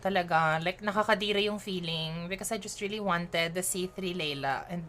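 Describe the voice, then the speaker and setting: A woman with a Filipino accent chatting casually as she streams a game, mixing Tagalog and English and thinking out loud. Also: on the low side, brisk, a little hiss or hum. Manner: chatty, switching between Tagalog and English mid-sentence; giggly, thinks aloud while playing.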